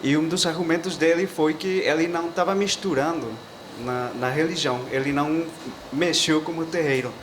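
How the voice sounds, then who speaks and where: Mexican-accented man, Spanish native, polite halting question, clear hiss behind. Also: on the high side, steady tone. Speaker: audience member asking a question. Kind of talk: spontaneous question at a panel.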